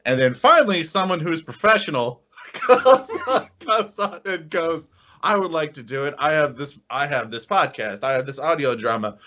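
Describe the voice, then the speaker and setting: A man with a bright, forceful voice chats as a podcast co-host. He is excitable and casual, often tripping over and repeating his words. The recording is a bit lo-fi. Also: a little high, moderate pace. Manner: excitable, stammering with frequent repeats. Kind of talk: casual enthusiastic chat.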